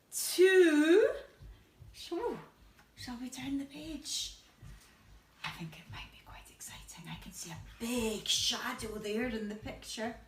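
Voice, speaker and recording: A woman's voice: fairly high pitch, a little hiss or hum. A woman reading a storybook aloud to young children, expressively, with questions and asides to her listeners. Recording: roomy echo, distant from the mic.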